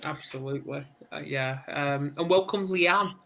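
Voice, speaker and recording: Bright-voiced hesitant male podcast host. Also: fairly high pitch, moderate pace, a little hiss or hum. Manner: hesitant, fumbling guest names. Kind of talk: casual podcast hosting.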